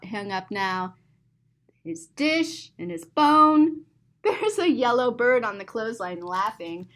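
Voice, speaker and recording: A woman reading a picture book aloud to young children in an expressive, playful way, pausing to explain things simply. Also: sits high. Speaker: storyteller and teacher.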